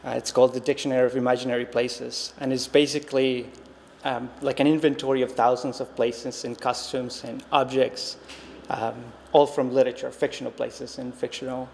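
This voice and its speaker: A man's voice: mid-range voice, little pitch movement, a little fast, vocal fry, a little mellow. A man in his thirties from Costa Rica, a native Spanish speaker, giving an artist talk with a reflective, hesitant manner full of ums and restarts, recorded in a reverberant gallery space. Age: 30s. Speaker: visiting artist. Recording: long-reverb hall, faint noise.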